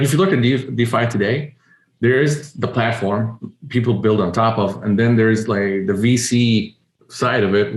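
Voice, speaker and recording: Egyptian-born man, low voice, echoey room. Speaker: guest, company founder. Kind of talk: interview answers.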